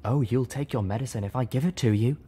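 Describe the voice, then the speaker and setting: A man voicing a female unicorn pony medic, prim, caring and gently scolding, in an audiobook story. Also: medium pitch, fast talker, breathy edge, voice pushed up. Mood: teasing scolding.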